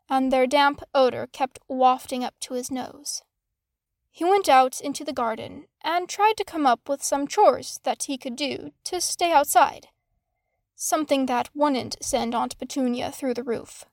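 A woman's voice: high-pitched, dry recording, studio quality. A woman reading a story aloud as a scripted fan fiction narrator. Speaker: audiobook narrator.

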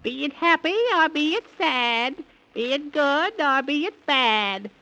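A woman's voice: high voice, pushed and bright, heavily acted, poor audio. A woman playing a wicked witch in 1930s children's radio acting, chanting rhymes in a theatrical, cackling voice. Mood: incanting, commanding. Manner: chanting rhymes, drawn-out words. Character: wicked gloating old witch, spiteful and commanding.

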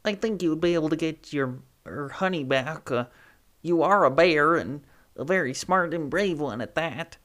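Cheerful, encouraging storybook bee, done by the narrator, eager to please. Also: male voice, on the high side, normal speed, fairly bright tone, natural voice. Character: friendly eager male bee.

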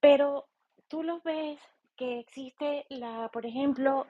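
A woman with a Venezuelan accent, giving a reflective informal monologue as a podcast host in a halting, deliberate manner. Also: sits high. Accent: Venezuelan Spanish. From eastern Venezuela. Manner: halting, deliberate, thinking aloud. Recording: slightly dull, lo-fi sound.

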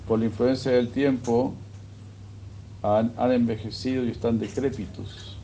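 A man giving a sermon, retelling a scripture story in a slow, measured way. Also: medium pitch, fairly even intonation, mellow, highs cut off, a little hiss or hum. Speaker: religious speaker.

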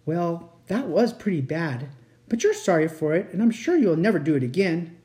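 Woman playing an earnest, animated little girl. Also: lowish voice, fast. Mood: gentle, earnest. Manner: polite, earnest, pleading. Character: earnest, kind-hearted young girl, anxious and pleading. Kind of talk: dramatised storybook reading.

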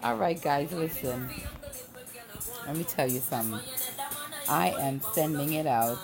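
Woman late 30s from trinidad, lowish voice, chatty storytime livestream, bit of music behind her. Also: unhurried, vocal fry. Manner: chatty, familiar, addresses the audience often. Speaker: livestream host. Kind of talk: casual livestream storytelling to viewers. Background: background music.

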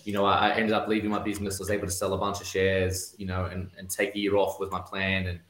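A man's voice: deep voice, completely flat delivery, quick pace, a bit bright, reverberant, poor audio. A man with an Australian accent, a podcast guest telling his story casually with lots of fillers and restarts. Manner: rambling, many "you know" fillers and restarts. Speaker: podcast guest, real estate investor.

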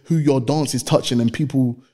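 A man's voice: mid-range voice, steady tone, normal speed, warm tone. A man with an East London accent chatting casually as a podcast guest, earnest and slangy, repeating phrases for emphasis. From East London, England.

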